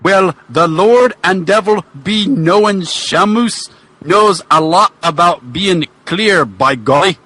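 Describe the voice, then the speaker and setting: A man voicing a huge, pale, angry Irish giant wrestler in a comic fan fiction reading, with an exaggerated stage Irish brogue and drawn-out folksy phrasing. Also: sits high, expressive, bright, forceful tone, dry recording, heavily acted. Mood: boastful.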